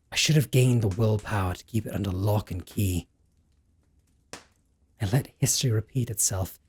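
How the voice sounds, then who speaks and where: A centuries-old male vampire, acted by a man, speaks in an intimate audio roleplay. His voice is lowish and heavily husky, full of breath. He is brooding and possessive, choosing formal, earnest words as he addresses the listener directly. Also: darkish tone. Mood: remorseful, ashamed.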